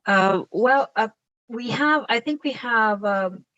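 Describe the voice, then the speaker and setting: A woman's voice: fairly high pitch, slow pace, a bit bright, dry recording. A woman organizing the session, answering hesitantly and tentatively. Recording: muffled distant audio.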